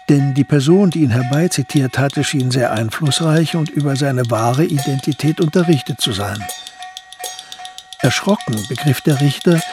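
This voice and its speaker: A man narrating a radio drama in a measured, storytelling manner from a script. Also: fairly high pitch.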